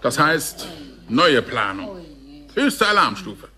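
A man playing a forceful figure giving orders in a science fiction radio play. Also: fairly high pitch, very animated, slow pace, music in the background. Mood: urgent, commanding.

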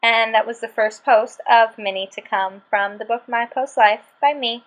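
A woman's voice: fairly high pitch, steady tone, normal speed, lo-fi sound. Sarcastic young woman reading her book. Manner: sarcastic, exasperated delivery. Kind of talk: dramatic reading of her own posts. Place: small bedroom, indoors. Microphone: webcam at desk.

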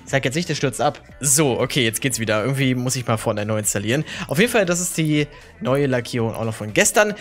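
A hoarse young man hosting his gaming channel, casually talking viewers through the day's game shop items in a chatty, relaxed way. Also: mid-range voice.

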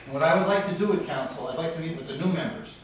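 A man's voice: on the high side, very fast. A middle-aged man giving a heated, accusatory public comment at a council meeting, heard from far back in a large room. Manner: heated, accusatory, rising to near shouting. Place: large wood-paneled council chamber. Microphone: podium mic, recorded from far back in the room. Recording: distant, very echoey chamber, faint noise.

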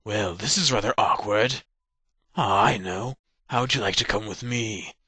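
A man's voice: lowish voice, unhurried, pushed and bright, slightly rough, no room sound, exaggerated voice. Man as a smug, mocking vampire gunslinger. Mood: smug, dry. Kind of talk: fan-dub parody cartoon acting.

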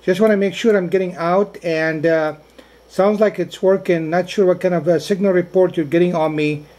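A man's voice: high-pitched, steady tone, normal speed, darkish tone. A man operating an amateur radio indoors, speaking in radio procedure phrases and repeating key words. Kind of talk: ham radio contact, short spoken exchanges. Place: indoors in a cabin.

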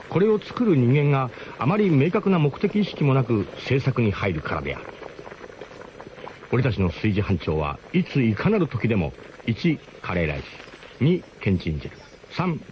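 A man's voice: medium pitch, darkish tone, slight background noise, rough recording. A man narrating a radio drama as a first-person comic story, in a mock-grand, theatrical way.

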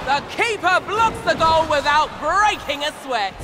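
Casting: excitable teenage boy sports commentator for a fantasy game, very high, swooping delivery over loud music. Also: a little slow. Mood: excited. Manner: excited, exclamatory, cheering on the play.

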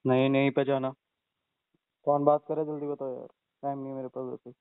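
A man's voice: mid-range voice, little pitch movement, fast, clear, resonant tone, no echo. A man talking curtly and irritably in a phone conversation. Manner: curt, irritated. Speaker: team member receiving a prank call. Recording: thin, dry phone-line audio.

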